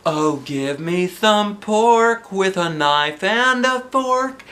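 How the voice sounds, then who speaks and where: A man voicing a woman talent coach in a children's story, singing a drawn-out jingle to an old cowboy tune. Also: high voice, animated, pushed and bright, pitched above the performer's own voice, heavily acted. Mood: showy, singing. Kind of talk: sung parody of a cowboy tune.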